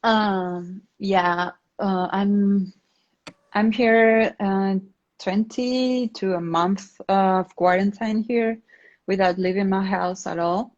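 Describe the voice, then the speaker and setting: An Argentine woman talks casually about her own work as an interview guest. She speaks slowly and haltingly, feeling for words in English, which is not her first language. The sound is slightly dull, like an online call. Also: medium pitch, steady tone. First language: Spanish.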